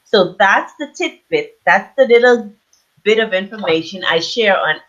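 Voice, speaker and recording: A woman's voice: mid-range voice, moderate pace, fairly bright tone. A woman from the Bahamas explaining her work as an interview guest on an online call. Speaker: interview guest, aromatherapy business owner and author. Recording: dull lo-fi online call audio.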